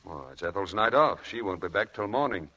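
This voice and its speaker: An actor playing a smooth, charming, faintly sinister husband in a vintage radio drama, breezy and coaxing. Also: male voice, sits low, lively intonation, a little fast, pushed and bright, highs cut off. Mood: coaxing, tender. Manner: breezy, coaxing, fond of endearments. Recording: noisy old radio broadcast recording.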